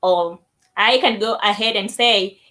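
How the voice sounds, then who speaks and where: A woman giving a language lesson, deliberately repeating words for learners. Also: medium pitch, sharp tone. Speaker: language teacher. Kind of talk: language lesson, demonstrating greetings.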